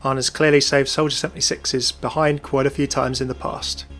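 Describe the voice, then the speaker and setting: A man's voice: mid-range voice, flat delivery, brisk, fairly bright tone, faint music behind. A man presenting scripted video game lore commentary in a chatty, enthusiastic manner.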